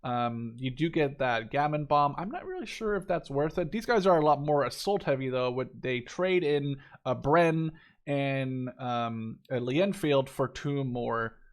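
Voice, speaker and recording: A man's voice: medium pitch, lively intonation, unhurried. A man in his twenties giving casual, unscripted game commentary, rambling and thinking aloud with enthusiasm. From Canada or the Netherlands. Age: 20s. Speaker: gaming video creator.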